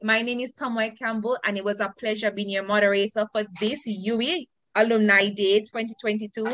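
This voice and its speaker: A woman's voice: medium pitch, pushed and bright, no echo. A young woman in her twenties moderating an online alumni event, upbeat and effusive. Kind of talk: event hosting on a video call. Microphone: video call.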